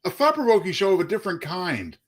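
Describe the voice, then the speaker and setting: A man co-hosting a live show, chatting casually in a halting, folksy manner. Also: sits high, huge pitch swings, breathy edge. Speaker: co-host.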